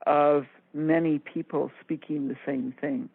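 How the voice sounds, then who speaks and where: A woman's voice: low voice, steady tone, measured pace, slightly rough, dry recording. A woman co-hosting a radio talk show, explaining ideas in a conversational way. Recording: tinny, dry phone-line sound.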